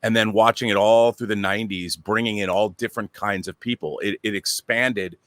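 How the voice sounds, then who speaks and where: A man's voice: lowish voice, fairly even intonation, brisk, fairly bright tone, dry recording. A middle-aged man talking casually as a panel guest, telling detailed stories with enthusiasm. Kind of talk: casual anecdotal talk.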